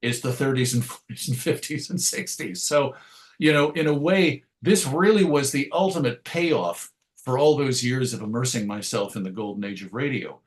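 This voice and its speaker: A man's voice: mid-range voice, normal speed. A middle-aged man with a mid-range voice speaks as an interview guest. He is articulate and reflective, choosing his words with care. His delivery is conversational and modest, with a self-deprecating streak. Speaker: interview guest, writer and radio show host.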